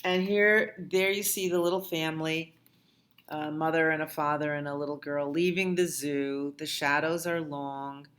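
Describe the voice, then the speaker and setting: A woman hosting a storytime for young children, reading aloud and reciting rhymes in a gentle sing-song way, often dropping to a hush. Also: low-pitched, steady tone, normal speed. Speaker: children's librarian and storytime host.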